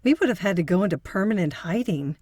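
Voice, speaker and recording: A woman's voice: lowish voice, expressive, fast talker, darkish tone, no room sound. A woman playing an earnest, affectionate psychotherapist in audio drama dialogue.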